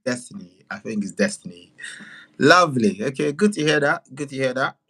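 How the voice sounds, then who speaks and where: A man who makes videos, chatting casually as a livestream guest in an animated way, often repeating words and phrases for emphasis. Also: mid-range voice, brisk, husky.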